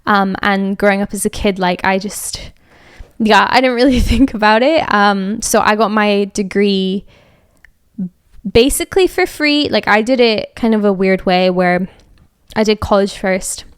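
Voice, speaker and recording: A woman's voice: mid-range voice, normal speed, clear, resonant tone, dry recording, clean studio sound. A young woman from Scotland with a light Edinburgh accent, hosting a podcast and giving rambling, casual advice full of fillers and restarts. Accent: Scottish, Edinburgh. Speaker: podcast host.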